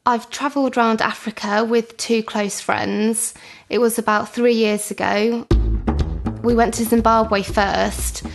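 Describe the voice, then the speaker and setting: A woman's voice: on the high side, steady tone, unhurried, vocal fry, faint music behind. A woman giving a simple personal account as an interviewee.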